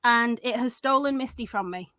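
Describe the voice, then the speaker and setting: A woman from Manchester with a slight Manchester twang, talking casually as a guest streamer and laughing between sentences. Her voice sits a little high. The sound is dry and close. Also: normal speed, pushed and bright, no room sound. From Manchester, England. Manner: giggly, chatty. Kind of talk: casual chat.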